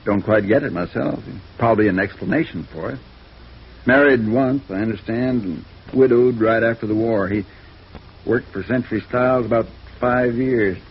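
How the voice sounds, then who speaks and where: An actor playing a tired, amiable, seedy lawyer of about forty in an old American radio drama, folksy and rambling. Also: male voice, mid-range voice, moderate pace, husky. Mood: easygoing, musing. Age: 40s. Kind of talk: 1950s radio drama acting. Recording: muffled, noisy vintage radio recording.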